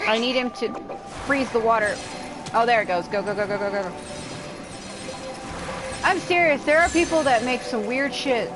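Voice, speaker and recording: A woman's voice: fairly high pitch, normal speed, long pauses, music in the background. A woman livestreaming a video game with casual, rambling commentary, blunt and complaining, swearing freely.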